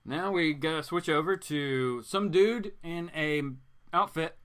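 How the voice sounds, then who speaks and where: A man's voice: a little high, slow. A man reading a comic aloud informally and dramatically, breaking into joking asides. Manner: animated, joking, theatrical.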